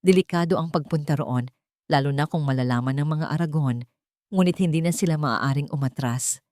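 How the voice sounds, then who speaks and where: Female storyteller for scripted romantic drama, lower register, dry studio-like sound. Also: brisk, a little mellow, dry recording. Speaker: story narrator.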